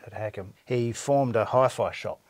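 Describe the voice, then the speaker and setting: A man's voice: medium pitch, normal speed, no room sound. An Australian man in his sixties talking conversationally and telling anecdotes as an interviewed company co-director.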